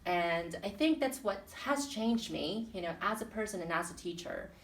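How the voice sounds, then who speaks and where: A woman's voice: fairly low pitch, animated, normal speed. A woman from the Philippines and Guam in her thirties, a teacher answering interview questions thoughtfully with many fillers and restarts. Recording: echoey room, mic at a distance.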